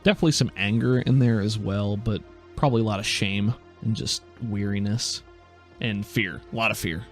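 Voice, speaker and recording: A man's voice: lowish voice, moderate pace, soft background music. A man narrating a tabletop game as game master and podcast host, in an informal, descriptive storytelling way.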